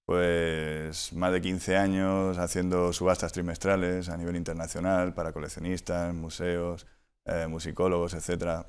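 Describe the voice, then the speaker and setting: A man with a Madrid accent giving a conversational interview as a guest, in a measured way, often tagging phrases with a short question. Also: deep voice, darkish tone, clear, resonant tone. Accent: Madrid Spanish. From Madrid, Spain. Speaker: interview guest, flamenco record collector.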